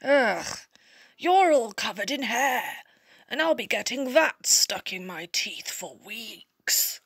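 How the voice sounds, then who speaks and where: A woman voicing a greedy male troll from a children's storybook, with a menacing, booming, drawn-out delivery. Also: very animated, fairly bright tone, breathy edge, no room sound, exaggerated voice. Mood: disgusted, grumbling. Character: greedy, roaring, bullying male troll under a bridge.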